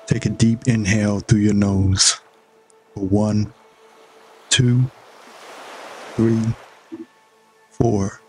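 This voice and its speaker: Slow, low-pitched man guiding a meditation. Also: darkish tone. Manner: measured, instructional, with long pauses between phrases. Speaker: guided meditation host. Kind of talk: scripted guided meditation narration.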